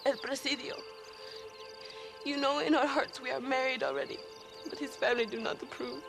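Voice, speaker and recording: A woman's voice: sits high, normal speed, vocal fry, music in the background. A woman playing a young Mexican peasant revolutionary in a video game, earnest, romantic and melodramatic, with a Mexican accent. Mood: lovelorn, proud. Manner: melodramatic, fervent. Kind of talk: video game cutscene acting.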